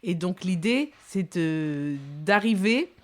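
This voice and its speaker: A woman's voice: fairly low pitch, lively intonation, slow. A woman in her fifties, a documentary filmmaker, giving reflective interview answers with many hesitations and restarts. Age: 50s.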